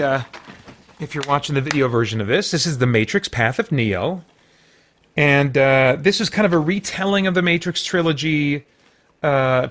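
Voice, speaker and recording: Casting: American man, slightly high voice, hosting an off-the-cuff review, halting delivery that wanders as he thinks out loud. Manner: halting, rambling, thinking aloud. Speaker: review show host. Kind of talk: casual unscripted film review.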